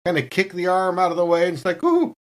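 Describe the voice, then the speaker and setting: A woman's voice: on the low side, big pitch swings, quick pace. A woman talking casually as a player in a tabletop role-playing game.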